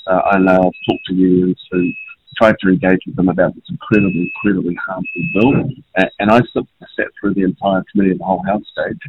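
A man's voice: sits low, level tone, quick pace, slightly rough. A man with a New Zealand accent, calling in from a train as a politician guest and speaking in a pointed, impassioned way. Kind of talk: phone-in interview. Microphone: phone. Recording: tinny phone line.

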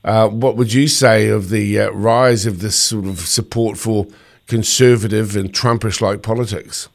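Low-voiced New radio host. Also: male voice, fairly even intonation, measured pace, creaky. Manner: probing, conversational. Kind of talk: talk radio interview.

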